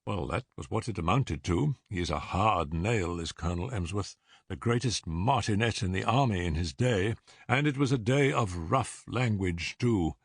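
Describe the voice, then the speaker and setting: A man's voice: low voice, lively intonation, moderate pace, gravelly, slightly rough, dry recording, clean studio sound, exaggerated voice. A man reading an audiobook as a hearty young former soldier, blunt, earnest and agitated. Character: big, hearty young male ex-soldier client, bewildered and earnest. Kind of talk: audiobook character dialogue.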